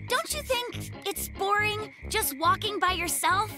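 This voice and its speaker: Worried little cartoon polar bear boy, slow and hesitant, piercingly high, faint music underneath. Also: animated. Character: timid gullible little polar bear cub, young boy. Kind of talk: animated kids' cartoon dialogue.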